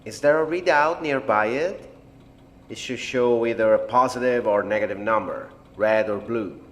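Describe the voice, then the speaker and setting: A man playing a reflective, apologetic scientist in an audio drama, explaining things in a measured, hesitant way. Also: medium pitch, fairly bright tone, natural voice. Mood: focused. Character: blind male scientist, reflective, apologetic, technical project doctor.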